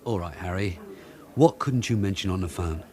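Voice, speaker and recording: An actor playing a gruff, commanding police detective sergeant in a British radio drama. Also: male voice, sits low, moderate pace, mellow, natural voice. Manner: brusque, commanding.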